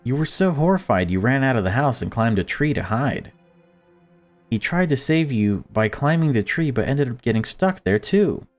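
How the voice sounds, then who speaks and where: A man's voice: medium pitch, animated, moderate pace, faint music behind, pitched above the performer's own voice, lightly acted. A man voicing a fretful mother in a visual novel, prim and chatty, with insistently upbeat small talk. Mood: forced cheer. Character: fretful, forcedly cheerful mother of a family.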